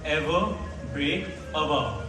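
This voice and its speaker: A young man giving an earnest, deliberate rhymed closing address at a school play, distant and echoey with music behind. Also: on the high side. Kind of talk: rhymed moral address to audience.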